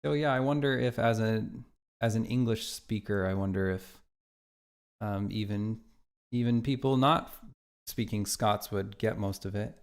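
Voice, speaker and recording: A man with a low, gravelly voice chats casually on a live stream as he works on his game. He thinks out loud and answers viewer messages as they come in. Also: measured pace. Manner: thinks aloud and reads viewer chat aloud. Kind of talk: casual livestream chat.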